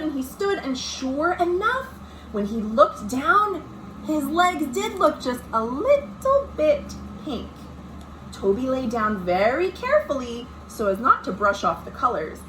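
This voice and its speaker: A woman, a children's librarian, reads a picture book aloud with dramatic emphasis, speaking directly to young listeners. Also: high-pitched. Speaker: children's librarian hosting storytime. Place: indoors at home. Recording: noisy amateur home recording.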